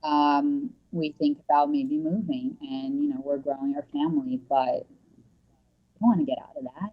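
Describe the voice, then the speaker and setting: A woman with a notably low voice talks casually as a guest, full of ums and restarts. She is joining over headphones on a remote call, and the audio is dull and lo-fi. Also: a little slow. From Brazil. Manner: chatty, many fillers and restarts. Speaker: real estate agent, guest.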